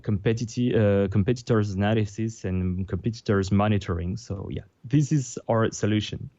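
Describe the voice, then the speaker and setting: A man with a French accent, French his first language, speaking as a guest on a video call, halting as he translates on the fly. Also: lowish voice, dark tone, no room sound, lo-fi sound. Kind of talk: casual interview over video call.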